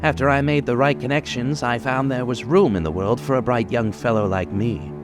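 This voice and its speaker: A man's voice: medium pitch, music in the background, natural voice. A man playing a boastful, menacing young killer in an old-time radio crime drama, dwelling on his words. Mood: gloating. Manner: menacing, gloating, dwelling slowly on details. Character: boastful, unhinged young male killer holding police at gunpoint.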